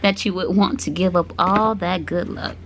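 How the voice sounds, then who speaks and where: A woman voicing a cheerful, kindly old granny bear in a storybook, gently encouraging as she explains. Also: on the low side, fairly even intonation, moderate pace, background noise, natural voice. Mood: surprised.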